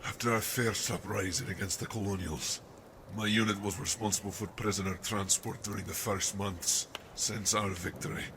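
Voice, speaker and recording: Man acting a low, gravelly war-weary captain. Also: steady tone. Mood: haunted, weary. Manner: grave, deliberate storytelling, calls listener "laddie". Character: war-weary older army captain, haunted, fatherly. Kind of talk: dramatic radio-play acting. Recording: noisy amateur audio drama with outdoor ambience.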